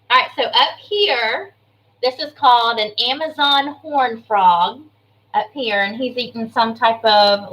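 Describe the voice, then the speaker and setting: A woman kindergarten teacher reading an information book aloud to young children, adding chatty asides, simple explanations and questions in an expressive way. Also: fairly high pitch, low-quality recording.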